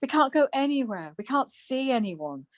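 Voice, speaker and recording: A woman's voice: high-pitched, sing-song, moderate pace, no echo. A woman acting a scatty, easily exasperated wife in a domestic radio comedy. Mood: stressed. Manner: flustered, snippy.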